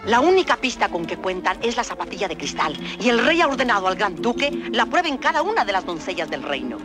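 A woman's voice: mid-range voice, big pitch swings, fast, close to an everyday voice. An actress playing a haughty, domineering middle-aged stepmother in a children's audio fairy tale, with commanding, ceremonious speech. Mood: scheming, urgent. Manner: commanding, ceremonious formal address. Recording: loud music behind the voice.

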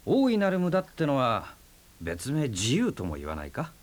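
An actor playing a brash, teasing man who talks in a rough, casual, wry way in a radio drama. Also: medium pitch, wildly swooping pitch, moderate pace. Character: brash, teasing young man, stubborn and playful. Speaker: houseguest.

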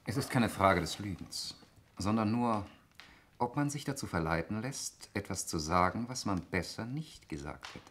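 A man's voice: on the low side, expressive, moderate pace, a bit bright. An actor playing a probing, accusatory brother in a 1960s German radio mystery, cutting and insistent as he interrogates. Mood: cool, pointed.

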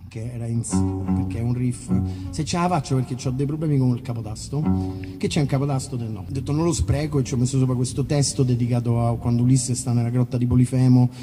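A man's voice: mid-range voice, fast talker, loud music behind the voice. A man, a musician, talking casually between songs in a rambling, informal, self-interrupting way at a live concert. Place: live outdoor festival concert in a park. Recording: live concert, long reverb, faint noise.

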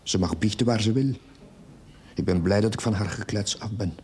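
An actor playing a troubled, defensive village curate in a television drama, speaking tersely and hushed with a Dutch accent. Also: male voice, lowish voice, normal speed, a little hiss or hum, natural voice. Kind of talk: television period drama acting. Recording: loud music over television soundtrack.

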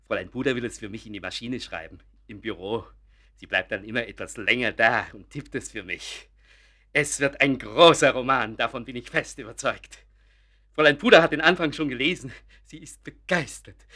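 A man's voice: medium pitch, moderate pace, pushed and bright. A man playing a fervent, idealistic amateur artist in a German radio play, effusive, solemn and self-important. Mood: excited, convinced.

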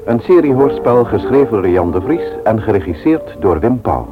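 A man's voice: fairly low pitch, big pitch swings, normal speed, over music. A man announcing a radio play title and credits in an old-fashioned broadcast. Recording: tinny, narrow old radio recording.

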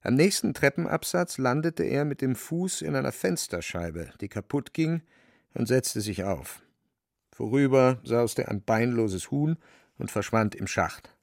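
A man's voice: medium pitch, expressive, a little slow, creaky, no echo, studio quality. A man narrating a radio play in a wry, dramatic literary storytelling manner.

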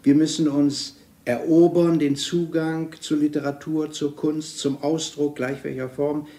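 Roomy recording of a mellow, deliberate man. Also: on the high side, flat delivery. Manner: deliberate, searching, piling up phrases. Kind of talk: explanatory interview speech. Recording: roomy archive interview recording.

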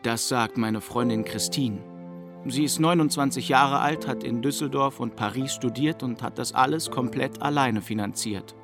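A man reading a scripted narration in a plain, steady way. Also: medium pitch, normal speed. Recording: music underneath.

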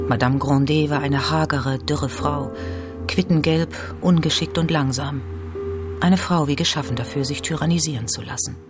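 Male literary narrator, somewhat high pitch, scripted radio reading over light music.

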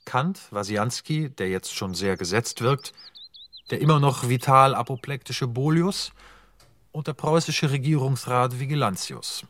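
A man reading stage directions as the narrator of a radio play, measured and matter-of-fact. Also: mid-range voice, fairly bright tone, a little hiss or hum. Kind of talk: scripted narration.

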